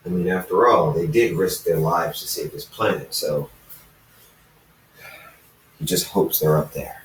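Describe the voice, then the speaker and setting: A man reading fan fiction aloud as an amateur narrator, halting and often going back to repeat phrases. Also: low voice, slight background noise. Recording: roomy echo, distant from the mic.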